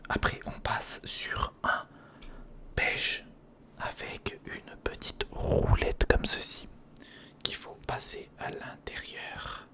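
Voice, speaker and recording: A man whispering in a breathy, crackly voice, casually murmuring a step-by-step ASMR commentary as he thinks aloud. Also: takes it slowly, pushed and bright. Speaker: ASMR video creator.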